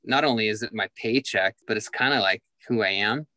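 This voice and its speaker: A man's voice: fairly low pitch, fairly quick, bright, forceful tone, no echo. A man in his forties, a musician guest, talking candidly and reflectively in an interview, with many fillers and restarts. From Southern California. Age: 40s.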